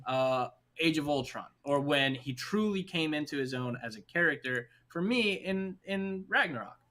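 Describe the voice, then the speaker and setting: Bright, higher-pitched man chatting emphatically. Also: expressive, moderate pace. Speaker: podcast co-host. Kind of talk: casual movie discussion chat.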